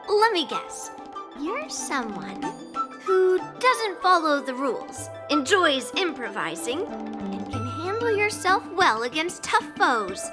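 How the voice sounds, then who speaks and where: A woman's voice: very high voice, sing-song, slow pace, exaggerated voice. A young actress voicing a bold, blunt young swordswoman in a video game cutscene, talking in a teasing, confident way. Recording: game cutscene over music. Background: background music.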